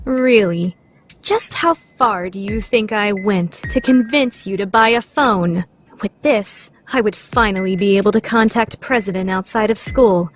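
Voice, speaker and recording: A woman playing a proud, scheming, secretly lovestruck high school lady in a formal, theatrical anime radio play style. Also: close to an everyday voice. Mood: smug scheming. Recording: background music under the voice.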